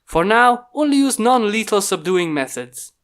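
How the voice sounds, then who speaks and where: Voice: medium pitch, very animated, normal speed, a bit bright. Reader as a stern, commanding male inventor. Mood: measured. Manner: stern, formal, giving orders. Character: commanding human male inventor leading robot bodyguards.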